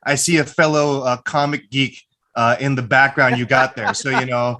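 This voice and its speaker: A man's voice: mid-range voice, steady tone, moderate pace, sharp tone. A man from the Philippines, a filmmaker guest on a video call, easygoing and nerdy, laughing often as he talks. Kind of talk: casual interview over video call.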